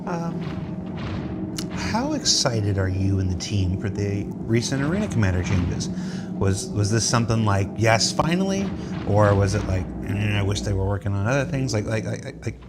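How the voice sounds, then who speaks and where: A man with a fairly low voice hosts an interview in a conversational way. He is wordy and deliberate, often circling back on his phrases. Loud music plays behind him. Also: animated. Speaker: interview host.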